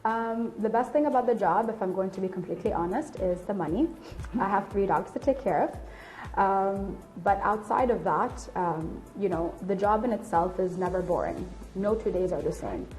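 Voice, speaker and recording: A woman's voice: medium pitch, moderate pace, few pauses, darkish tone, soft background music. A woman in her late twenties giving candid, articulate explanations in an interview. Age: late 20s. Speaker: air traffic control officer and instructor being interviewed.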